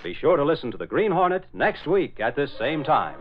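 Animated American male radio announcer. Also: fairly high pitch, fairly quick, loud music behind the voice. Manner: emphatic, formal delivery. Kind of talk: scripted 1940s radio announcement. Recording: dull vintage 1940s radio broadcast.

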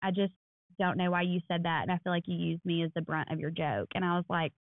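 A woman in her thirties co-hosting a podcast, telling stories in a quick, animated way. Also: on the low side, no echo. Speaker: podcast co-host. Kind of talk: casual chat. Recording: dry, phone-like lo-fi sound.

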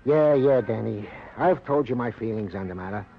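An actor playing an earnest, sorrowful, long-winded police sergeant with pompously formal wording in a radio drama. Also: male voice, fairly low pitch, expressive, normal speed, creaky, a little hiss or hum. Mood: formal, mournful. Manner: wordy, pompously formal, plaintive. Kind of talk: 1950s radio drama acting. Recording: muffled old radio recording.

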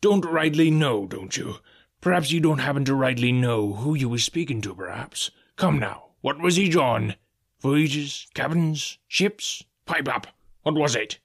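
A man voicing a hearty, cunning seafaring tavern landlord with a gravelly, husky voice and salty, drawling, emphatic speech, in a storybook reading. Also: mid-range voice, lively intonation, measured pace. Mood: scornful, commanding. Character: hearty, cunning one-legged sailor tavern landlord, commanding, genial.

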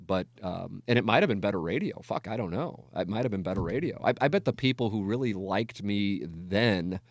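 American guy in his 40s, lowish voice, dry close sound, rambly self-deprecating radio talk, swears a lot. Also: animated, a little fast, no echo. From United States, Missouri. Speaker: radio talk show host. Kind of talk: candid reflective casual talk.

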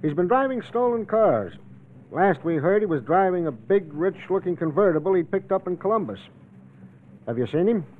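An actor playing a courteous, plain-spoken police officer on a manhunt in an old-time radio drama. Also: male voice, high voice, sing-song, normal speed. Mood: businesslike. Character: folksy, courteous police officer hunting an escaped killer. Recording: tinny vintage radio recording.

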